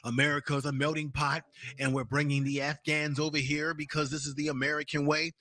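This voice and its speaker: A man's voice: fairly high pitch, level tone, a little fast, sharp tone, no room sound. A man hosting a live stream, giving a heated, profane political rant. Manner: profane, angry, repetitive ranting.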